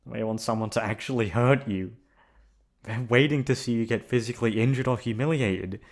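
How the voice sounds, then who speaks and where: A young man playing a gruff but affectionate, protective delinquent student in an intimate ASMR roleplay, talking in a casual, foul-mouthed way. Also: medium pitch, fairly quick, natural voice. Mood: indignant, angry.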